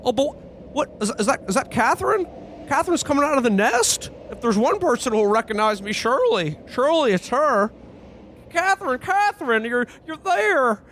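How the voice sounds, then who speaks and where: A man's voice: very high voice, sing-song, moderate pace, a bit bright, a little hiss or hum, exaggerated voice. A man playing a frantic, pleading character who stammers and repeats himself in a radio play parody. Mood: wounded desperation. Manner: stammering, breathless repetition, pleading. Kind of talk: old-time radio play melodrama parody.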